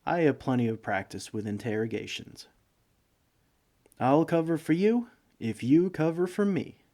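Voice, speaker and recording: A man's voice: mid-range voice, mellow, natural voice. A man playing a bumbling, broke villain neighbor in a comedic audio roleplay, speaking in a hesitant, pleading, self-justifying way. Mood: cocky. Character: broke, bumbling, sheepish male supervillain neighbor begging for sugar. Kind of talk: comedic ASMR roleplay monologue.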